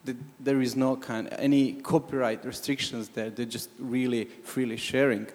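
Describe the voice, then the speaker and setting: A man from Croatia, commenting from the audience at a panel discussion, halting with many restarts. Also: medium pitch, fairly even intonation, a little mellow. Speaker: audience member. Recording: long hall reverb.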